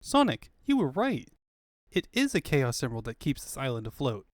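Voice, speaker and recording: A man's voice: mid-range voice, wildly swooping pitch, moderate pace, dry recording, studio quality, pitched below the performer's own voice. A man voicing a chipper young male fox sidekick with quippy, innocent questions in a comic book fandub. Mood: surprised, cheeky.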